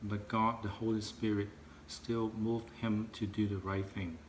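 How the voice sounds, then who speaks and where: A man's voice: lowish voice, little pitch movement, a little hiss or hum. A man in his forties whose first language is Cantonese, giving a simple, earnest Bible lesson for children. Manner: earnest, simple and slow-paced. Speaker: Sunday school teacher. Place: outdoors in a park and a living room.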